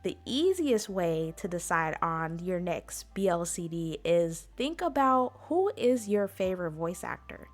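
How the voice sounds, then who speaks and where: A woman's voice: lowish voice, very animated, a little slow, few pauses, faint music behind. A woman hosting an informal explainer talk in a chatty, conversational way, speaking directly to her listeners. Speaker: convention panel host.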